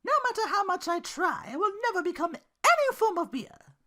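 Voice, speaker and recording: A woman voicing a cranky, sharp-tongued young woman who snaps when woken, in a story read aloud. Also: very animated, moderate pace, full character voice. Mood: amused. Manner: snappish, shrill.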